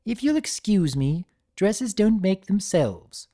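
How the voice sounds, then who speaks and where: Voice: mid-range voice, big pitch swings, slow, warm tone, pitched above the performer's own voice. A narrator voicing a prim, refined and proud unicorn lady with a formal, proper manner for a fan story reading. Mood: hurt, formal. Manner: proper, formal, easily flustered.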